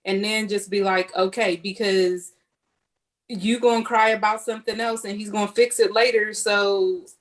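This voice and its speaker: A woman's voice: mid-range voice, steady tone, moderate pace. A woman giving her opinion in a candid, informal way in a casual chat. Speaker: podcast panelist.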